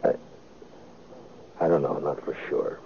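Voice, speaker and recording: A man playing an anguished middle-aged father with a rural American accent in a 1950s radio western, halting and folksy. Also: natural voice. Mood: halting, guilty. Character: anguished, protective old-West father, plain country man. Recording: dull, noisy old radio recording.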